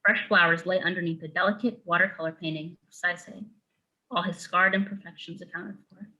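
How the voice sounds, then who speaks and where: A woman serves as narrator for a script reading, delivering stage directions. Her voice is on the low side for a woman, with a bright, forward edge. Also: steady tone, a little fast, lo-fi sound.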